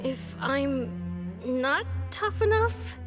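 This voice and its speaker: A woman's voice: high-pitched, animated, very slow, loud music behind the voice, exaggerated voice. A woman voicing a reluctant, whiny young sick boy in a video game. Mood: nervous, reluctant.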